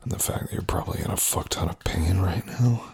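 Man doing a cold, controlling lover: low breathy whisper, close and taunting. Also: takes it slowly, full character voice. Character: cold, domineering, cruel male lover. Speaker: audio roleplay performer. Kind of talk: whispered adult audio roleplay.

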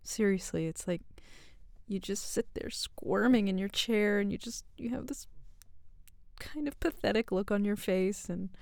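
A woman speaks slowly in a soft, teasing whisper, right up against the mic. Her tone is mellow and warm, with a gravelly fry under it. The sound is dry, with no room around it. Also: mid-range voice, no room sound. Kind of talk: ASMR roleplay.

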